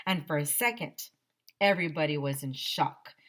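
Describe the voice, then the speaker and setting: A woman teacher reading a children's chapter book aloud in an expressive, animated way. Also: deep voice, normal speed. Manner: animated, acts out dialogue.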